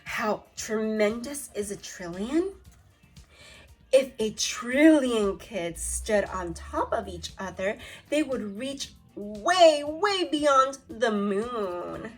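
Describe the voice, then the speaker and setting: A woman's voice: a little high, expressive, slow, soft background music. A woman teaching young children through a read-aloud lesson, reading from a book and prompting pupils with questions and short instructions. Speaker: second-grade teacher.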